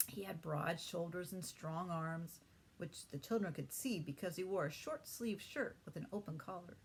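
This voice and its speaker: A woman teacher narrating a children's storybook aloud in an expressive storytelling manner. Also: fairly low pitch, normal speed, slightly rough. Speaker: third-grade teacher reading aloud.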